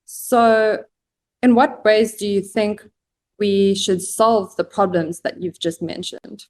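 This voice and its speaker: Young woman, panel host, formal measured delivery, even middle pitch. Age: young adult. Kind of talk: scripted panel hosting.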